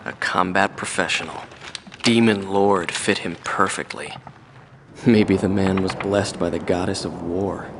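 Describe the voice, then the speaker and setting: A man playing a weary, reflective veteran mercenary pilot in a video game, recalling the past in a measured, wistful interview monologue. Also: low-pitched, sharp tone, slight background noise, heavily acted. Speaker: former mercenary fighter pilot being interviewed.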